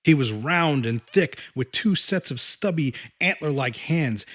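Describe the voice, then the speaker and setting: A man narrating a scripted horror story as an audiobook reader, in a deliberate, dramatic manner. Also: a little high, breathy edge.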